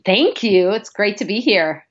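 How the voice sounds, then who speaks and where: A woman's voice: medium pitch, measured pace, lo-fi sound. A woman in her thirties from Lebanon, not a native English speaker, chatting candidly and informally as an interview guest. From born in Lebanon, moved to America at ten. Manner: candid, informal, animated quoting of own thoughts. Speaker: podcast guest, nonprofit founder. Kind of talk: casual conversational interview.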